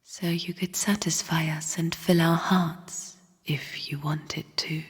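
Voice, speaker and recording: Bleak whispering spirit sister, very breathy. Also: female voice, sits low, fairly even intonation, heavily acted. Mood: yearning. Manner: slow, hushed, cryptic and scornful. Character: bleak, bitter female spirit sister of a dying void. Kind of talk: video game character monologue. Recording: long reverberant hall echo.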